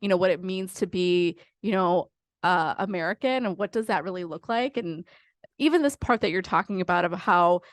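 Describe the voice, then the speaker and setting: A woman educator in the audience, giving a thoughtful, hesitant comment with many pauses and ums. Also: lowish voice, no room sound, studio quality.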